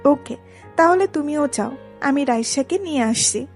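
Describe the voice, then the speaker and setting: A woman's voice: sits high, animated, measured pace, a little mellow, soft background music. A woman playing a protective, exasperated older sister in a dramatised romantic audio story, with a stern, admonishing manner. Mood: worried.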